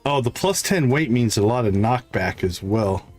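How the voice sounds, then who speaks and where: A man livestreaming a game, thinking aloud in casual commentary and reading item stats in a deliberate, musing way. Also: mid-range voice, expressive, darkish tone, faint music behind.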